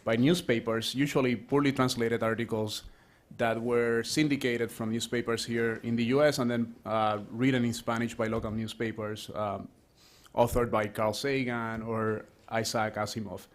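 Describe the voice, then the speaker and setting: A man's voice: mid-range voice, level tone, moderate pace. Man speaking on a panel. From Puerto Rico. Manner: conversational, many restarts and fillers. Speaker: associate professor, speaker at a round table. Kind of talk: panel talk.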